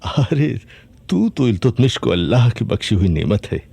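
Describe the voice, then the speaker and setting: An actor playing a commanding Sultan and doting father in a historical radio drama, speaking in a regal, measured, declamatory way. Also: fairly low pitch, sing-song, creaky, dark tone, breathy edge, heavily acted. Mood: regal, fond.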